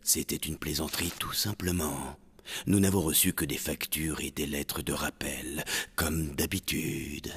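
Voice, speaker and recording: A male actor voicing a deadpan, stiffly polite butler in a monster hotel cartoon. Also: very deep voice, measured pace, creaky, slightly rough, exaggerated voice. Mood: dry, formal. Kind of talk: French cartoon dub acting.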